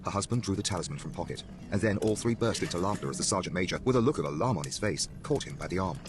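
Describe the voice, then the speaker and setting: Very fast, low-voiced male story narrator. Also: expressive, a little hiss or hum. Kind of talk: dramatic scripted horror story narration.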